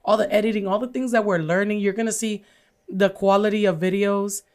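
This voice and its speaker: Loud, pronounced-voiced woman co-hosting a podcast. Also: mid-range voice, fairly even intonation, fairly quick. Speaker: podcast co-host. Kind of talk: casual chat.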